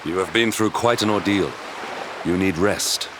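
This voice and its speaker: A man playing a steady, formal protector in a game, speaking gravely and tersely. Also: low voice, moderate pace, natural voice. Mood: solemn, caring. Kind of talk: scripted game cutscene acting. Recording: sea-wash noise behind.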